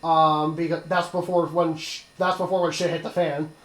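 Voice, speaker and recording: A man's voice: a little high, little pitch movement, moderate pace. Man explaining things in a roomy space. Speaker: let's play commentator. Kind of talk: casual gaming commentary. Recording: roomy, echoing sound.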